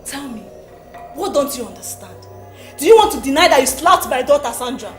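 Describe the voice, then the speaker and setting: A woman's voice: high voice, lively intonation, a little fast. A woman voicing furious Auntie Betty. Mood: accusing. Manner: shouting, threatening, haughty. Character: furious bossy middle-aged mother Auntie Betty. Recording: roomy echo with music behind.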